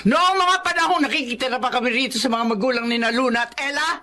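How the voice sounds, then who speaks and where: Woman acting a bright-voiced, outspoken protective aunt. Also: high voice, fast talker, slight background noise. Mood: indignant. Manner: forceful, plain-spoken. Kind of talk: Filipino melodrama audio acting.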